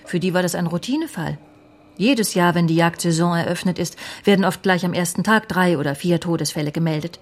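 An actress playing a sly, self-assured police secretary in a radio drama, with a knowing, teasing manner. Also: female voice, on the low side, brisk. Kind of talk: naturalistic radio drama acting.

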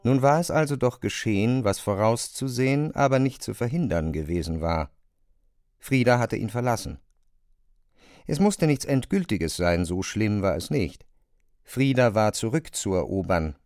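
A man's voice: fairly low pitch, expressive, normal speed, a little mellow, dry recording, studio quality. A man narrating a literary story from a script.

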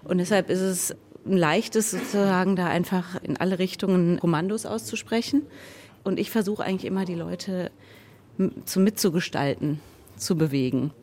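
A woman's voice: on the low side, normal speed, a little mellow. A woman radio drama director giving a reflective interview, thinking aloud and correcting herself as she goes. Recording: clearly noisy background.

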